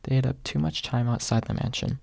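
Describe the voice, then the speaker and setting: Gravelly, husky man reading softly. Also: medium pitch, fairly even intonation, fairly quick, no echo, clean studio sound. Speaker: audiobook narrator. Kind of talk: ASMR audiobook reading, soft and close, whispery at times.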